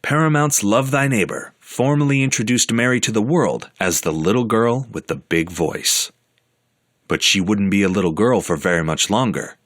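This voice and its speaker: A man narrating a documentary in an even, measured scripted delivery. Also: lowish voice, no echo, studio quality.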